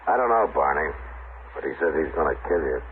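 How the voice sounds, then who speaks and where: An actor playing a stern, steady Old West marshal in an old radio drama, speaking plainly in a measured way with an American accent. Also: male voice, extremely low pitch. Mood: grave, firm. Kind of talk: 1950s radio drama acting. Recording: tinny, noisy old radio recording.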